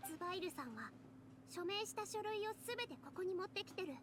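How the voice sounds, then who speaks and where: A woman's voice: very high-pitched, expressive, racing, noisy background. An actress playing an earnest, determined young woman in an anime game, arguing in Japanese in a reasoned way. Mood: earnest, firm. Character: earnest, determined young female theater performer.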